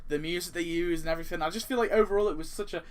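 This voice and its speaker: Young guy doing a casual gaming countdown, bit high voiced, rambly, repeats himself for emphasis. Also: rapid-fire. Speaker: gaming video host.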